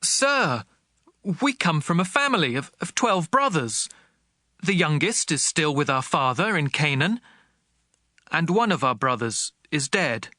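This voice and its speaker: An earnest brother from Canaan, humble and anxious. His bright voice swoops expressively and sits close and dry, in the pleading style of a Bible radio drama. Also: on the high side, big pitch swings, measured pace, no echo, exaggerated voice. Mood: pleading, nervous. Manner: pleading, respectful. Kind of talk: audio Bible drama acting. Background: music.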